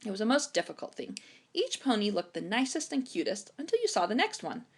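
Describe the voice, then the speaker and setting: A woman reading a children's chapter book aloud in an expressive storytime narration style. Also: medium pitch, brisk, husky. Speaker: storytime reader.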